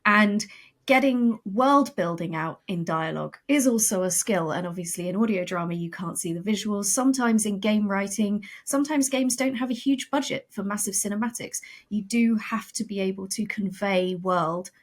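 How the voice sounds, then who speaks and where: A woman's voice: medium pitch, normal speed. A woman speaking as a guest on a podcast, talking in a thoughtful, conversational way with frequent fillers. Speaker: creative director and game writer, guest. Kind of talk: casual podcast interview.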